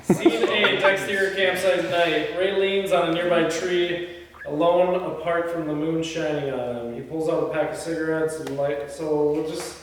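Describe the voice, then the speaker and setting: Male table-read narrator, higher register, reading stage directions; distant mic, long reverb. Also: steady tone, moderate pace. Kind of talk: reading screenplay aloud with informal asides. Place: indoor echoey room. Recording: very echoey room, distant mic, faint noise.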